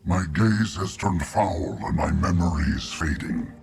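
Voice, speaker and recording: Game role: ancient prophetic tree, very deep, slow and creaky, solemn and fading. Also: male voice, a little mellow, faint music behind. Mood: solemn, weary. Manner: solemn, halting, cryptic. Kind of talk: video game character acting.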